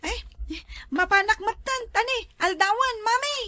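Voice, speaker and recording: A woman playing an excitable, complaining household member in a comedy drama, with an Ilocano Filipino accent. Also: extremely high pitch, moderate pace. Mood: casual.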